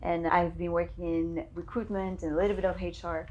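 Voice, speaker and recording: A woman's voice: on the low side, brisk, highs cut off. A woman in her thirties from Belgium, a recruiter talking casually as an interview guest. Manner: relaxed, conversational, slight restarts.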